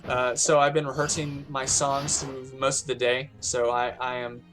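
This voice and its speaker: Hoarse man rambling over gameplay. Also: medium pitch, flat delivery, moderate pace, fairly bright tone, soft background music. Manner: rambling, lots of fillers and restarts. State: hoarse, a little winded. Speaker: gaming channel host. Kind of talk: casual gameplay commentary.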